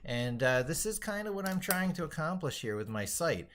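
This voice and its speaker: A man's voice: a little high, big pitch swings, normal speed, fairly bright tone, slight background noise. A man hosting a casual, unscripted livestream, rambling as he thinks aloud. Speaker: livestream host.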